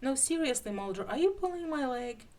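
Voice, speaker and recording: Woman acting out a frantic, high-pitched female agent. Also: expressive, brisk, darkish tone. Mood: exasperated. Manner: urgent, emphatic. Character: frantic, exasperated woman FBI agent pleading for sense.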